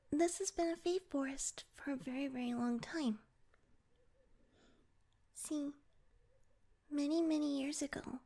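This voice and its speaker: Fantasy ASMR roleplay role: little pixie played by a woman, extremely high voice, flustered and stammering, proud, wary of humans. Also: a little mellow. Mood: relieved, explaining.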